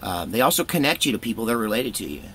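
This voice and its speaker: A man's voice: fairly low pitch, sing-song, rapid-fire, fairly bright tone, slight background noise. A middle-aged American man telling a personal story casually, in a candid, folksy, rambling way.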